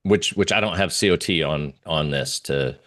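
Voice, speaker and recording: Low-voiced man talking through charts. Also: a bit bright. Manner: halting, searching for words. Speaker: market analyst guest. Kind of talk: informal live chart commentary.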